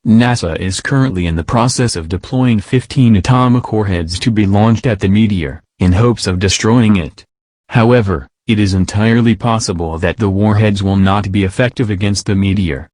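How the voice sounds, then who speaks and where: A man's voice: on the low side, normal speed, darkish tone, dry recording, clean studio sound, close to an everyday voice. A man's voice, possibly synthetic, playing a stern, impersonal announcer reading a scripted emergency broadcast. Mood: grave, formal. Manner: formal, impersonal.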